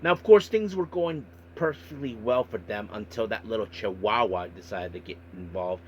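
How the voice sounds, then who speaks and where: A man hosting a podcast monologue, recapping and commenting on events in a halting way with repeated filler phrases. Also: fairly low pitch, animated, dull audio, slight background noise. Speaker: wrestling podcast host.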